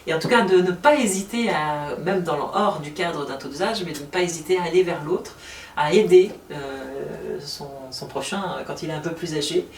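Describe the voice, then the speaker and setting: A woman answering interview questions about her housing association, talking fast with many hesitations. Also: sits low. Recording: roomy sound from far off.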